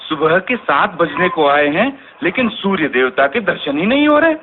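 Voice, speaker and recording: Townsman for radio play: high-pitched, swooping, urgent, on a thin phone-like recording. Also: normal speed. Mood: agitated. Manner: animated, urging. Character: agitated male townsman calling neighbours to hear news. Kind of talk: radio drama crowd dialogue. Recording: tinny narrow-band recording with faint noise.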